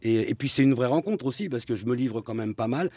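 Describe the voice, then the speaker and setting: A man's voice: mid-range voice, brisk, husky, dry recording. A man with a Parisian accent, a comedian guest chatting casually and jokingly in a radio interview. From France. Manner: chatty, lively, joking, frequent restarts.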